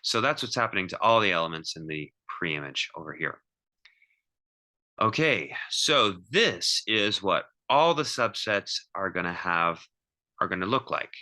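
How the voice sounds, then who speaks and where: A man giving an informal, step-by-step math lecture as a teacher, thinking aloud in a halting way and repeating himself. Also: low-pitched, big pitch swings, sharp tone.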